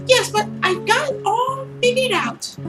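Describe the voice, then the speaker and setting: Very high-pitched, eager little girl. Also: measured pace, loud music behind the voice. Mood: earnest, chatty. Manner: childlike, eager, rambling. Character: chatty, pleading, earnest little girl. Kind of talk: visual novel character read-aloud.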